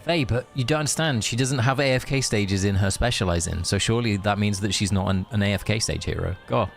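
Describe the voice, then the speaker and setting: A man doing casual live game-stream commentary, joking, swearing and haltingly reading story text aloud. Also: on the low side, creaky, faint music behind.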